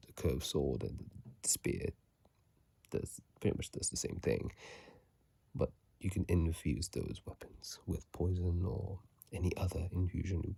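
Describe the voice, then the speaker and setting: Someone whispering game asmr into a phone mic, super breathy n husky, slow and halting, bit of gravel. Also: low voice, dark tone, no room sound. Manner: hesitant, halting, repeats words. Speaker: host of an ASMR channel. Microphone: phone microphone.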